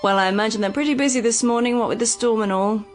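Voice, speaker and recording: A woman's voice: a little high, fast talker, faint music behind, lightly acted. A woman playing a practical, caring, reassuring household helper in a radio drama. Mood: chatty. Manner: reassuring, homely.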